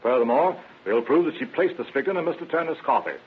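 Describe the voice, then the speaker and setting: A man's voice: medium pitch, expressive, fast, slight background noise, natural voice. Man acting an assured prosecutor, muffled old radio. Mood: confident. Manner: formal, confident courtroom questioning and argument. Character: assured, formal male prosecuting district attorney.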